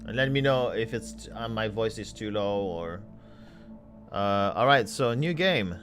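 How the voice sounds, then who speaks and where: A man talking casually on a live stream, halting and correcting himself as he thinks aloud. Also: fairly low pitch, expressive, music in the background.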